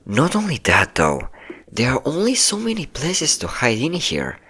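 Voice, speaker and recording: A man's voice: medium pitch, huge pitch swings, normal speed, fairly bright tone, a little hiss or hum. A man playing a possessive, manipulative ex-boyfriend in a dramatic ASMR roleplay, coaxing with pet names and sweet-talking menace. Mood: menacing, smug. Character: possessive, manipulative yandere ex-boyfriend hunting his hidden partner.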